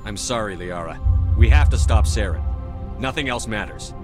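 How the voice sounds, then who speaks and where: A lowish male voice performed by an actor for a resolute military commander in a sci-fi game. He is direct and driven. Music is clearly heard behind him. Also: normal speed, lightly acted. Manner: direct, decisive. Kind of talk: video game acting.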